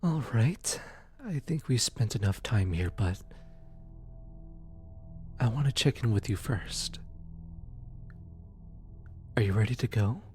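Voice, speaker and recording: A gentle, reassuring demon played by a voice actor speaks at a very unhurried pace in an ASMR comfort roleplay. The delivery is tender and a little hesitant, in a mid-low register, with music playing underneath. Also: animated. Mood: gentle, caring. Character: gentle, soft-spoken demon receptionist of hell, reassuring guide.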